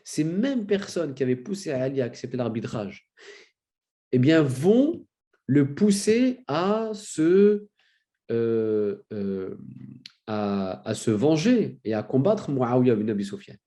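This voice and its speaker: A man with a cold giving a spoken lecture, telling a story with many fillers and restarts and rising in pitch for emphasis. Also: big pitch swings, slow, warm tone. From France. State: has a cold. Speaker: lecturer on religious history.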